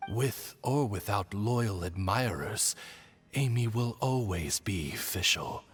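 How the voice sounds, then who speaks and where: Husky, courtly servant creature. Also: male voice, medium pitch, full character voice. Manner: formal, measured, reverent. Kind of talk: video game character acting.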